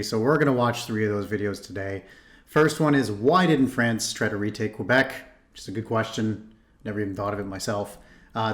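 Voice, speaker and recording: A man from Ontario hosting a reaction video, chatting casually and thinking aloud with frequent restarts. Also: lowish voice. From Canada (Ontario). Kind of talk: casual commentary.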